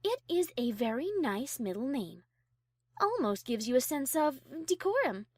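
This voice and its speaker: A woman voicing a prim, high-class and easily offended female pony in a fan audio story, speaking formally. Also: very animated, measured pace, dry recording, clean studio sound, exaggerated voice. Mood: gently formal. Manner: formal, refined, prissy. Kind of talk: acted fan fiction audio drama.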